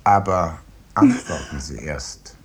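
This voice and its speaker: An actor in a 1950s radio drama playing a grave, coolly authoritative professor of medicine who speaks formally and moralizes. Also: male voice, extremely low pitch, slow, slightly rough, full character voice. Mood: stern, grave. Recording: noisy 1950s radio recording.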